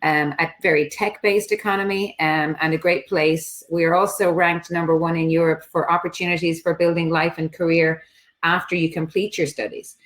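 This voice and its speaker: A woman presenting slides at an online study fair, relaxed and filling pauses with ums. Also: on the low side. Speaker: international office presenter.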